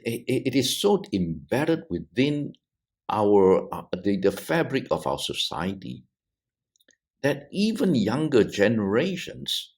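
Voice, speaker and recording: A middle-aged man from Malaysia, a theologian on a discussion podcast, talking in a halting way with frequent restarts and repeated words. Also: medium pitch. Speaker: theologian panelist. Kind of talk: thoughtful discussion on a video podcast.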